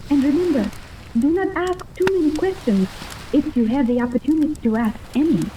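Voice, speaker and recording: A woman's voice: high voice, animated, fairly quick. A formal young captive lady from an old radio serial, lilting voice, noisy old recording. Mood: earnest, explanatory. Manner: formal, precise, explanatory. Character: Joan, earnest captive daughter on a strange island. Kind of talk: 1930s radio serial acting.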